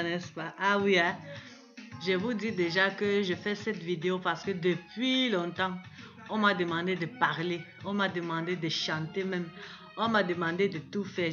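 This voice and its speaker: A woman, a native Italian speaker, talks straight to her viewers in a playful, slangy way, dropping English words into her speech. The clip sounds dull and lo-fi, and clear music runs underneath her voice. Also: on the low side, pushed and bright. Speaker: social media video maker. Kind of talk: playful selfie video monologue.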